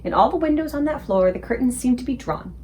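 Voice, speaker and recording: A woman reading a children's book aloud as an expressive storyteller for a book club. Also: high-pitched, fast talker, slight background noise.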